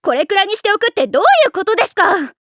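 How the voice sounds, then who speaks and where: A woman's voice: very high voice, animated, rapid-fire, fairly bright tone, no room sound, clean studio sound. A woman voicing a haughty, strict teenage rich girl with prim, formal, self-satisfied speech in an anime. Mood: indignant. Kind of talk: anime voice acting.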